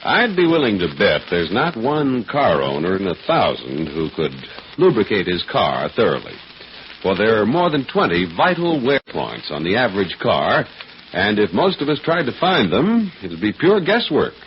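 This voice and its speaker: A man's voice: on the low side, huge pitch swings, moderate pace, a little hiss or hum. A man with an American accent working as a radio announcer, reading scripted copy in 1940s broadcast style. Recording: dull old radio recording with music.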